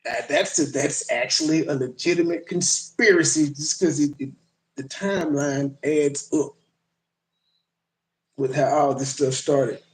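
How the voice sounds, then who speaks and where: A man's voice: a little high, steady tone, unhurried. Rambling man streaming live, roomy sound. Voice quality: rambling, profane, reacts to chat. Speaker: livestream host. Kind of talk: casual live commentary.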